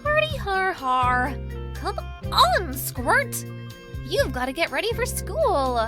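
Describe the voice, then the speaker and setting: A woman plays a dim, playful teenage schoolgirl in an anime parody audio drama. Her voice is extremely high and swings widely, at an unhurried pace, with sarcasm in the delivery. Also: sing-song, music in the background, full character voice. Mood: bossy, teasing.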